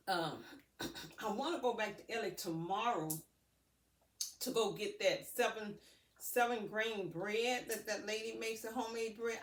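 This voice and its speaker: A woman's voice: mid-range voice, fairly bright tone. A woman vlogger chatting casually to viewers in a rambling, folksy way, often thinking aloud. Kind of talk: casual unboxing vlog chat to viewers. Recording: roomy, distant mic, faint noise.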